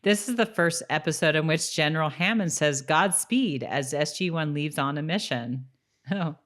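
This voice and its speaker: A woman's voice: low voice, moderate pace, a bit bright. A woman co-hosting a casual rewatch podcast, chatting and at times reading from notes. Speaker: podcast co-host.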